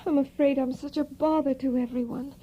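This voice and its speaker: An actress playing a frail, anxious young gentlewoman in an audio drama, with a breathy, hesitant, languid delivery. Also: sits high, fairly even intonation, brisk, lightly acted. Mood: uneasy. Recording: muffled, dull recording.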